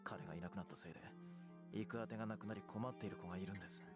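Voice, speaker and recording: A man playing a calm, stiff patient in a visual novel who questions like a detective. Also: lowish voice, very fast, natural voice. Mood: softer, earnest. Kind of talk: Japanese visual novel acting. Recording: guitar music behind the voice.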